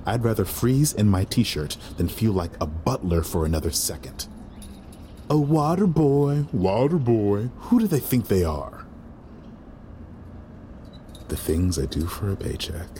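Deep, slow, sardonic weary waiter. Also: male voice, big pitch swings, warm tone, slight background noise. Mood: bitter, fed up. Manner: dry, sardonic inner monologue. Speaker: lead actor and narrator. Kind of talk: first-person audio drama narration.

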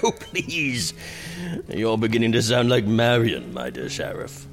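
A man's voice: fairly low pitch, sing-song, unhurried, soft background music. An actor playing a pompous, drunken, self-indulgent prince acting as king in a theatrical, haughty medieval audio drama.

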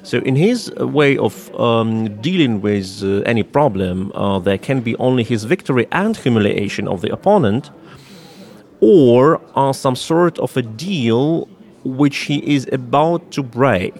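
A man from Russia with a Russian accent, a native Russian speaker, explaining politics in a halting, deliberate and emphatic way as an interview guest. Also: mid-range voice, animated, a little mellow.

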